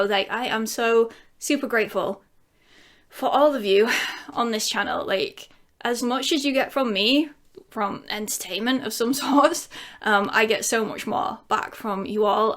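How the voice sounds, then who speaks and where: A woman's voice: on the high side, a bit bright. Giggly, rambling woman chatting to camera. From England. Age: 30s. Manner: breaks into bits of song. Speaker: YouTuber answering viewer questions. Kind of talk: casual Q&A chat to camera.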